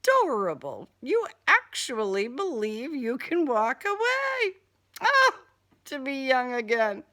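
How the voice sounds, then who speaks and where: Lady reading as a smug polite killer guy in an audiobook, slow n taunting, swoopy pitch. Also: wildly swooping pitch, sharp tone, full character voice. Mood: amused, condescending. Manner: formal, condescending, unhurried diction.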